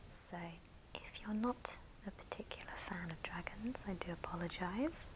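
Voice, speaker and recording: A woman whispering gently and breathily in an unhurried ASMR show-and-tell style. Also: on the low side, low-quality recording. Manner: gentle, unhurried, soft-spoken. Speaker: ASMR video creator.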